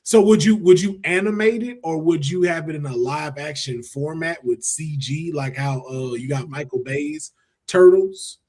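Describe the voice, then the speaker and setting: A man chatting casually as a podcast host, with an animated, slangy, sometimes profane way of talking. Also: fairly high pitch, moderate pace. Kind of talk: casual chat.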